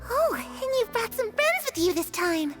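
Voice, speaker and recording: An actress voicing a mysterious little orphan girl in a video game, soft-spoken and friendly. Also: very high voice, big pitch swings, moderate pace. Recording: game dialogue over background music.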